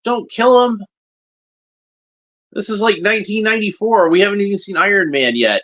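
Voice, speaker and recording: Bright-voiced man, casual watchalong host, slightly dull audio. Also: high voice, moderate pace. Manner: rambling, unscripted, with short observational remarks. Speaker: host of a cartoon watchalong commentary. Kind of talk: casual off-the-cuff watchalong commentary.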